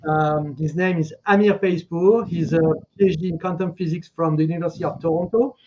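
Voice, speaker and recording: Male interview host, higher-pitched, halting and effusive, repeats words, muffled lo-fi audio. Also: fairly even intonation, dark tone. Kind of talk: live online interview, effusive welcome. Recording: dull, lo-fi call audio.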